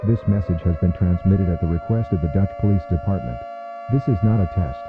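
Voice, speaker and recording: A man's voice: deep voice, fairly quick. A man reading a scripted emergency alert in a formal, stern manner, as an announcer. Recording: muffled phone-like audio with music behind.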